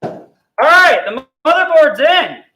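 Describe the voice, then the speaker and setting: A man's voice: extremely high pitch, slow. A young man from South Carolina, casually explaining a computer build as he works in a hot studio. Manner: relaxed, chatty, explains as he works. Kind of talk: casual hands-on demo chat. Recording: noisy studio recording.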